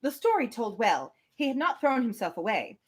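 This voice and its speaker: A woman with a fairly high voice and very animated intonation hosts a live novel reading. She moves between expressive narration and informal, amused commentary. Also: moderate pace, breathy edge. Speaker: host reading a novel on a livestream. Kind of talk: live book reading with casual asides.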